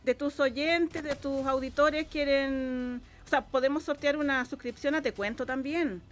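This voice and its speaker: Slightly high-voiced Chilean woman chatting. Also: steady tone, unhurried, slight background noise. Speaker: interview guest, radio drama writer and producer. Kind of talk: casual chat.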